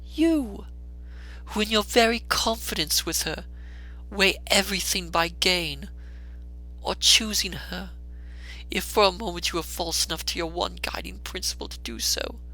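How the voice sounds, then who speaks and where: A woman playing a gentle, steadfast, sorrowful young woman in a dramatic storybook reading, measured and solemn. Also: medium pitch, animated, low-quality recording. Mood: sorrowful resolve.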